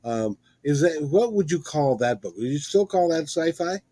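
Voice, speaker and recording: A man's voice: on the high side, expressive, normal speed, warm tone, slightly rough, dry recording. Male interview host with a dry, close sound. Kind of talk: casual conversational interviewing.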